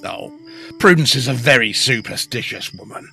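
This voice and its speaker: An actor playing a nervous, evasive husband in a period ghost story, hesitant, fussy and defensive, often stammering. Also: male voice, medium pitch, normal speed, pushed and bright, faint music behind. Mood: uneasy explaining. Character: nervous, evasive husband, anxious to flee haunted house. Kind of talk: period ghost story audio drama acting.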